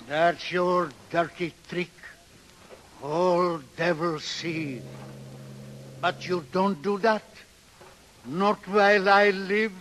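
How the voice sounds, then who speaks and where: Casting: gruff old immigrant skipper, slow and halting, protective, hissy vintage radio play. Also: male voice, high-pitched. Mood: bitter, defiant. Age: older. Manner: broken English, gruff commands. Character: stubborn, protective old immigrant barge captain, a father. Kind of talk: 1930s radio acting. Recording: hissy 1930s radio broadcast.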